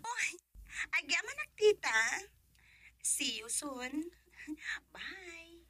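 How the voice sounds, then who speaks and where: Bright, high, bubbly young woman. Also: sing-song, drawn-out words, a little hiss or hum, exaggerated voice. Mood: eager, bubbly. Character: eager, bubbly young woman phoning an older auntie. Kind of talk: radio drama acting.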